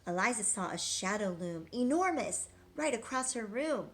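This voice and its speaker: A woman teacher reading a rhyming picture book aloud to young children, gentle and engaging, pausing to ask questions. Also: medium pitch, expressive.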